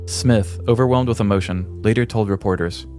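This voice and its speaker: Male narrator for comic true-crime storytelling: low-pitched, scripted, dramatic, with faint music underneath. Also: brisk, mellow. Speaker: podcast host.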